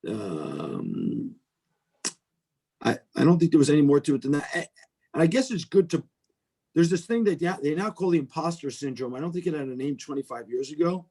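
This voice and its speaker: A man's voice: a little high, very animated, normal speed, dark tone, husky. A middle-aged man with an American accent speaks informally and discursively as a guest on a video call, with some self-deprecation. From United States. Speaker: venture capitalist, guest speaker.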